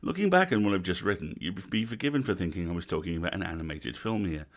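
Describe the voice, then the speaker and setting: A man's voice: low-pitched, lively intonation, quick pace. A British man with a wry, dry delivery, hosting a stream and reading a written review aloud with casual asides. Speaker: host of a livestream reading series.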